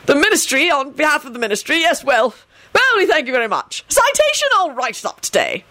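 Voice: sits high, huge pitch swings, a little fast, sharp tone, pitched above the performer's own voice, full character voice. A storybook reader voicing a pompous, portly little male minister who babbles in flustered, falsely jovial panic. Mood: terrified babbling.

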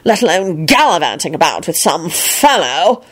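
A woman's voice: medium pitch, huge pitch swings, moderate pace, slightly rough. A woman voicing a haughty, officious young man with stiff, pompous, disapproving phrasing.

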